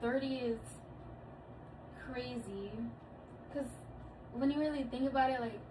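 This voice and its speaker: A woman's voice: sits high, measured pace. A woman of thirty chatting casually and thoughtfully, with plenty of fillers. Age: 30s. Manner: relaxed, thoughtful, speaks with many fillers. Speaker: guest, daughter. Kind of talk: casual family chat. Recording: distant mic with clear background noise.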